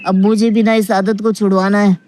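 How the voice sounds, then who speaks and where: Casting: performer as fussy male doctor in a comic story, mellow dark tone, plaintive and confiding. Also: mid-range voice, flat delivery, moderate pace, lightly acted. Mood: exasperated, confiding. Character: fussy male doctor obsessed with mopping floors, exasperated.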